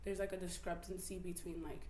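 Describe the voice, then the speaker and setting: A woman's voice: lowish voice, flat delivery, a little fast, darkish tone. A young woman from the Dominican Republic talking casually about identity, saying like often. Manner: casual, heavy use of like. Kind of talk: personal testimony. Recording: echoey room, faint noise.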